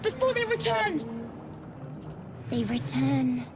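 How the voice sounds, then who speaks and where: A woman voicing an eerie, childlike newborn brain creature that pleads in short, halting, repetitive phrases for a video game. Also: high voice, sing-song, background noise, full character voice. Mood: pleading, urgent. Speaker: game character.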